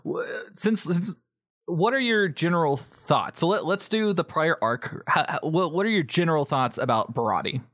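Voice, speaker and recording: A man's voice: a little high, expressive, moderate pace, fairly bright tone, no room sound, studio quality. A man co-hosting a podcast in casual chat, explaining and prompting his partner, often checking in as he goes. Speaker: podcast co-host.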